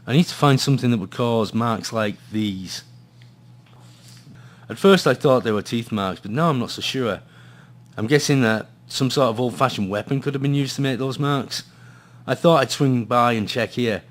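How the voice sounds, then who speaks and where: A man's voice: medium pitch, moderate pace, close to an everyday voice. An actor playing a gloomy hard-boiled male detective, narrating and talking in a noir radio play with brooding drama. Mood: puzzled, uneasy.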